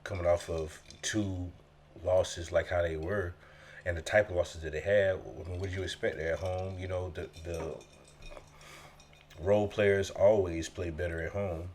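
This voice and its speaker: A man's voice: low-pitched, fairly even intonation, normal speed, a little mellow, a little hiss or hum. A man co-hosting a podcast in casual chat, stuffy from a summer cold, laid-back and slangy. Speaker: podcast co-host.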